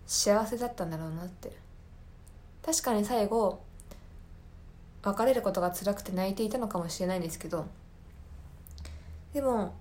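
Female podcast host, mid-pitched, for thoughtful personal monologue; earnest delivery with hesitant searching for the right word. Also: darkish tone. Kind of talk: reflective solo talk, personal film commentary.

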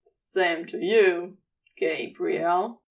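Female reader as weary wry male character, audio fiction, lowered pitch, slow halting delivery, creaky. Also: far from the mic, heavily acted. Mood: awkward, wry. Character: bleary, wry married man, hesitant and slow.

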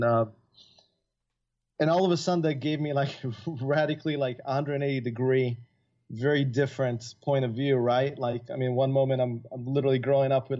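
Chatty, halting man, slightly dull lo-fi audio. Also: medium pitch, warm tone. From Florence, Italy, and from his teens Florida. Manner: halting, chatty, peppered with "like" and "you know". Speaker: podcast guest, composer and filmmaker. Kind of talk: casual, anecdotal interview talk.

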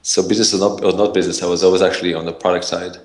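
Deep-voiced Israeli man, rambling. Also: level tone, racing, darkish tone. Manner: rambling, many fillers and restarts. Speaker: podcast guest, startup founder. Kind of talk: casual interview talk.

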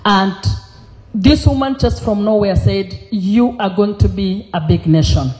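A middle-aged woman from Kenya, giving an earnest testimony as a guest preacher in church, pausing often with fillers. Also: medium pitch, darkish tone. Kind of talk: testimony sermon.

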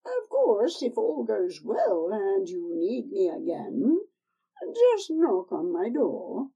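Woman doing a cackly old crone, high and sly, husky edge. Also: wildly swooping pitch, slow pace, warm tone, muffled recording, exaggerated voice. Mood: sly warning. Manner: coaxing, harsh, screeching. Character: cackling wheedling old female herbalist crone.

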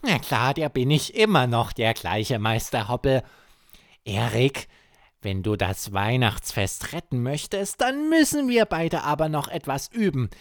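A man's voice: mid-range voice, animated, unhurried, no echo, clean studio sound. A man voicing a cheeky, teasing plush hare who hums and asks playful questions in a children's bedtime story.

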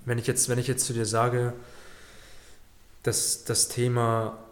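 A man interviewing a guest, gently probing and affirming, often restarting his questions mid-sentence. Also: medium pitch, completely flat delivery, slow, echoey room, a little hiss or hum.

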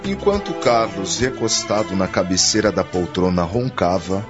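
A man's voice: sits low, lively intonation, normal speed, music in the background. A man delivering scripted narration as the storyteller of a radio soap. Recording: muffled lo-fi radio drama.

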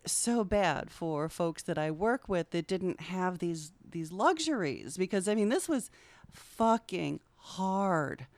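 A woman's voice: fairly low pitch, lively intonation, slow, hardly any pauses, darkish tone, no echo. A middle-aged woman talking candidly and informally as a guest in a conversational podcast interview. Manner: candid, informal, swears casually. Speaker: podcast guest, social worker.

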